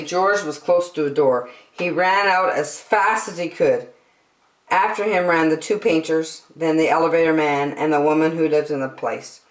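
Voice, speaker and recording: A woman reading a children's picture book aloud in an expressive, dramatic storytelling style, in an echoey room. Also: sits low. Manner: dramatic, with emphatic slowed phrases.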